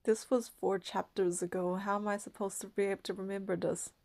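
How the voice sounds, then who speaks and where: A woman's voice: mid-range voice, flat delivery, brisk, creaky, a little mellow. A woman reading game narration and dialogue aloud, with casual commentary of her own.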